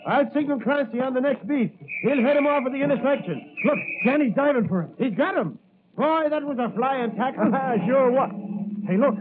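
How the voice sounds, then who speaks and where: A man acting an impulsive, excitable sidekick in a 1940s radio crime drama, brash and slangy. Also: very high voice, normal speed. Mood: excited. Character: impulsive, excitable male action-hungry sidekick. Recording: tinny, noisy vintage 1940s radio recording.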